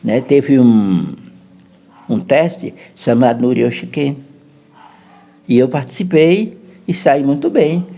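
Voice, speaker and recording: A man's voice: medium pitch, lively intonation, slow pace, gravelly, pauses often, warm tone. A man with a Brazilian Portuguese accent, a podcast guest telling a long, rambling story and often checking in with his listener. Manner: chatty, keeps checking in with "né?".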